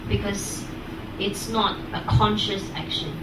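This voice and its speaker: Female student, halting classroom answers, distant echoey sound with clear noise behind. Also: mid-range voice. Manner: hesitant, piecing answers together. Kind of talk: unscripted spoken answers in class.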